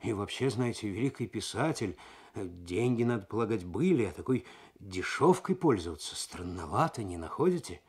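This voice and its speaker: Guy reading as a big shady dealer in a radio story, lowish voice, cagey, hums and haws. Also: expressive, moderate pace, darkish tone, husky. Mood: skeptical. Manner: hesitant, musing, with filler words.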